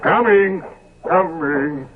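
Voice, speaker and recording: Radio drama: courteous household servant, a touch flustered, lively pitch, narrow vintage recording. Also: male voice, very slow, heavy background noise, full character voice. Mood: deferential, flustered. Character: deferential English manservant, polite and flustered. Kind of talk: 1970s radio drama acting. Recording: tinny old radio recording.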